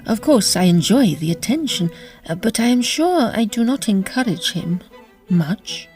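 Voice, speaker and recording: A woman's voice: medium pitch, animated, a little slow, darkish tone, natural voice. Lady doing a flirty genteel opera star, arch and teasing, voice swings up and down, light music under. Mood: arch narration. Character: admired, coquettish leading lady of opera. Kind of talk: period audio drama with narration.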